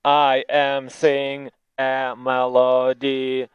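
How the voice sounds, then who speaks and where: A man delivering short phrases as a flat demo vocal clip. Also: mid-range voice, very slow, clean tone, dry recording, highs cut off.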